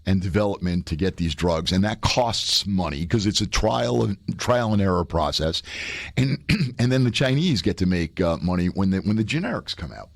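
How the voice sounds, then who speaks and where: A man's voice: sits low, lively intonation, normal speed, gravelly, no room sound, studio quality. A middle-aged man, a professor guest on a radio talk show, explaining at length with fillers and restarts.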